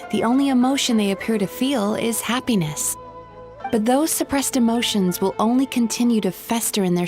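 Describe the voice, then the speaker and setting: A woman voicing a calm young dream therapist who explains things gently and clearly. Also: medium pitch, close to an everyday voice. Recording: game audio with faint music underneath.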